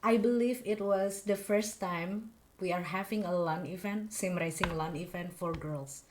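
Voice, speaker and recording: Young Indonesian woman host, lowish voice, loose and chatty with frequent laughs. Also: a little slow, background noise. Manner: chatty, laughing often, many fillers like "you know". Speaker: podcast host. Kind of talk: casual interview chat.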